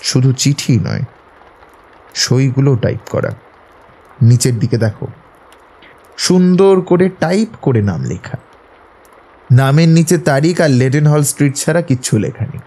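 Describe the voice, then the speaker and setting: A man's voice: medium pitch, lively intonation, unhurried, long pauses, mellow. A man playing a self-assured, analytical detective in an audio drama, explaining things in an assured, instructive way. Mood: confident, probing. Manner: assured, didactic, explaining deductions step by step. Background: prominent background music.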